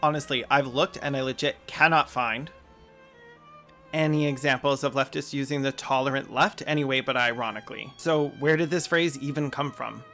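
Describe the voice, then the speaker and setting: A man's voice: mid-range voice, fairly even intonation, moderate pace, pushed and bright, soft background music. A man hosting a video essay, mixing sarcastic, mocking asides with plain argument.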